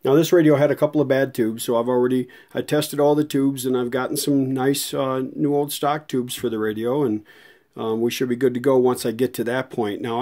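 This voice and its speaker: A man's voice: medium pitch, mellow. A man giving a casual, unscripted hands-on walkthrough as a host, rambling in a folksy way as he thinks aloud. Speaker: host, radio restorer.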